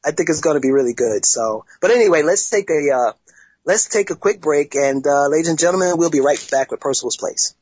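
A man hosting a casual internet talk show, speaking haltingly with many restarts and fillers. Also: fairly high pitch, expressive, darkish tone, husky, no echo. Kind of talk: casual podcast chat. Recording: dull lo-fi sound, dry.

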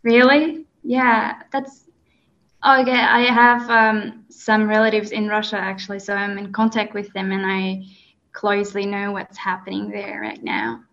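A woman's voice: medium pitch, a bit bright, muffled recording. Earnest young woman telling her story. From Kazakhstan. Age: 20s. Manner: earnest, halting, with frequent fillers. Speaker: guest. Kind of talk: telling a personal story in an interview.

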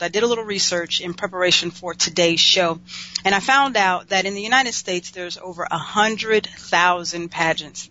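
A woman's voice: lowish voice, bright, forceful tone, highs cut off, a little hiss or hum. A woman hosting an interview show, chatty and enthusiastic, often repeating her words. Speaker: talk show host.

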